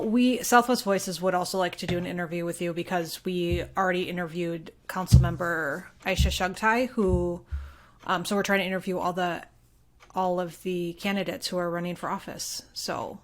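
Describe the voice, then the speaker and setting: A woman's voice: on the low side, steady tone, normal speed. A woman speaking as a local reporter and podcast guest, informal and playful in casual, bantering chat.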